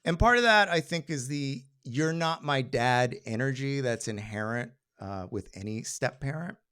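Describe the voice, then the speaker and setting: A man telling a personal story on stage in an animated, conversational way with comic timing. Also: medium pitch, normal speed, smooth. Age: adult.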